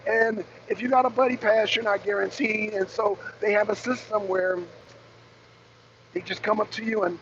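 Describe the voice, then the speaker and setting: A raspy-voiced man hosting a casual rant to his viewers from his truck, blunt and profane as he calls out rivals. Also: sits high, steady tone, moderate pace, fairly bright tone, muffled recording, slight background noise. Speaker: host. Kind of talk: casual rant to a live audience. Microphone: phone camera.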